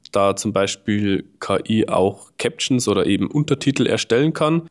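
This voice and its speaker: A man from southern Germany who edits marketing videos, giving casual, unscripted interview answers. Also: lowish voice.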